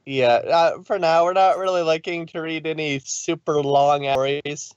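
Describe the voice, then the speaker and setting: A woman's voice: low voice, fairly even intonation, measured pace, darkish tone, clear, resonant tone, dry recording. A woman hosting and reading fan fiction in an informal way. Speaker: fan fiction reader and host. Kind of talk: narrated story reading and casual chat. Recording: dull, dry lo-fi microphone.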